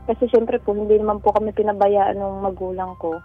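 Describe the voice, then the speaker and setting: A woman's voice: mid-range voice, level tone, a little fast. A Filipino woman calling a radio advice show, telling her personal story conversationally in a polite, deferential way. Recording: dull lo-fi call audio over music.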